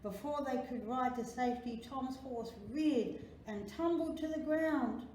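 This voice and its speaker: A woman reading a children's picture book aloud in an expressive, dramatic storytelling manner, drawing out key words. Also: sits high, unhurried. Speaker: library storytime reader. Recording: very echoey room, faint noise.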